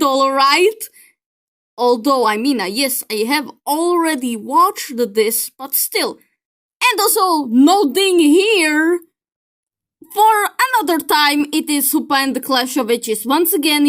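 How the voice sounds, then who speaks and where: A woman's voice: sits high, fairly bright tone. A woman giving casual reaction commentary as a video creator, playful and teasing, with exclamations.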